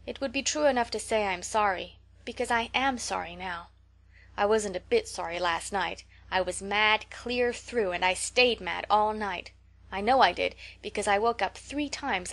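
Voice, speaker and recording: A woman's voice: medium pitch, normal speed, fairly bright tone, lightly acted. A woman voicing a young, impulsive and imaginative orphan girl who speaks in a theatrical, tremulous, pleading way. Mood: thoughtful.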